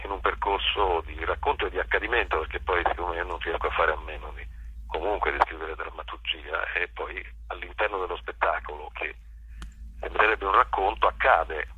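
A man being interviewed about his work, explaining in a conversational way, haltingly, often repeating himself as he searches for words. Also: low-pitched, sharp tone, husky, no echo, muffled recording. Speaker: guest, actor and playwright.

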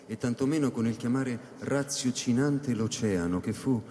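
A man giving first-person dramatic narration in a radio play, deliberate and suspenseful. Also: mid-range voice, warm tone. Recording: echoey hall reverb, music behind.

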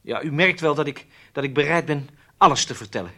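An actor playing a weak-willed, cornered spy who confesses, hesitant and submissive, in a radio drama. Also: male voice, fairly high pitch, expressive, fairly quick, sharp tone, heavily acted. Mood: nervous, compliant.

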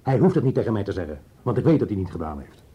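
A man's voice: fairly low pitch, sing-song, very fast, warm tone, husky. An actor playing a stubborn, protective middle-aged father in a radio drama, curt, defiant and indignant.

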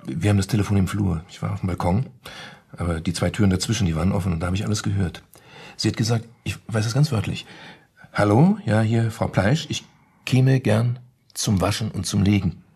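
An actor playing a timid, embarrassed husband full of suspicion in a radio play, speaking in a halting, awkward, secretive way. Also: male voice, fairly low pitch, vocal fry, a little mellow, slightly rough, full character voice. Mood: suspicious, defensive. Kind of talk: German radio play acting.

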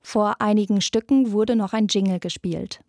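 A woman's voice: mid-range voice, fairly even intonation, normal speed, darkish tone, dry recording, clean studio sound. A young woman in her twenties presenting a scripted podcast narration. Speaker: presenter, radio drama intern.

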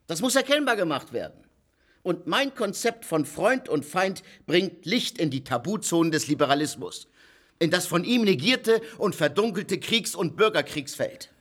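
An actor playing a legal theorist who lectures a circle of gentlemen, emphatic and insistent. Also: male voice, sits high, expressive, moderate pace. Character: lecturing jurist, cold and insistent. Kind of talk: radio play acting.

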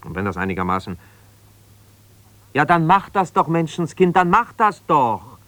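An actor playing an embittered, ambitious lawyer husband who talks in an argumentative, colloquial way in a naturalistic radio play. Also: male voice, fairly high pitch, very animated, normal speed, pushed and bright, no room sound. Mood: angry, frustrated. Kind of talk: naturalistic radio play, one side of a phone call.

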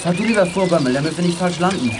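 A male actor playing a decisive teenage gang leader in a children's audio drama, brisk and matter-of-fact. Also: high voice, expressive, background noise. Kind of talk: 1980s German children's audio drama acting.

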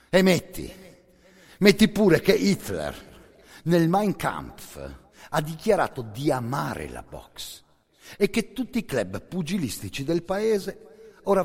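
Male monologist for radio theatre: husky, intense storyteller, very wide swings in pitch, long hall reverb. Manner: intense, theatrical storyteller, swelling from hushed to forceful.

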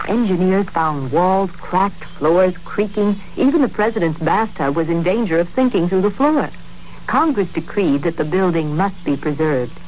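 A man's voice: sits high, animated, moderate pace, darkish tone. Male announcer narrating history on old radio. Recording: noisy old radio recording.

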